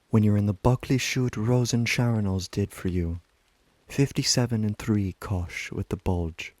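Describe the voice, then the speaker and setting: A weary, tender aging river wife, voiced by a man speaks a lyrical, intimate monologue. The voice is fairly low and very slow, with long deliberate phrasing. It is recorded dry, as literary recitation. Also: dark tone, no echo, close to an everyday voice. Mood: fond.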